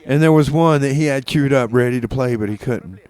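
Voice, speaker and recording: A man works the mic as a comedic community radio host. His delivery is rambling and halting, looping back over his own phrases. He keeps a mock-earnest, playfully exasperated tone as he moves between songs. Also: medium pitch, darkish tone, clear, resonant tone. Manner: rambling, halting, repeats himself.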